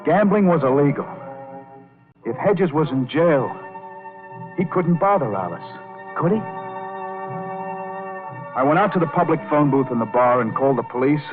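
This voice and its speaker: A man's voice: mid-range voice, expressive, moderate pace, long pauses, natural voice. An actor playing a hot-headed, lovestruck young American man narrating an old radio crime drama in a brooding, dramatic way. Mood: brooding, intense narration. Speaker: actor, first-person narrator. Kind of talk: 1940s-50s radio drama acting, noir narration. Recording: tinny old radio, band-limited, organ music behind.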